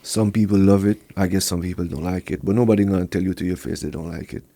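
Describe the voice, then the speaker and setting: A man from Montreal whose first language is Quebec French, speaking English with a strong Jamaican patois flavor in a relaxed podcast interview. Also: sits low, fast talker, no echo. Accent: Jamaican patois over a Quebec French base. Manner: laid-back, slips into patois grammar. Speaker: reggae artist guest.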